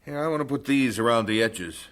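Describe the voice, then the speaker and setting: An actor playing a practical missionary husband in an old radio drama. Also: male voice, mid-range voice, expressive, fairly quick, a bit bright, close to an everyday voice. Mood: fussing, practical.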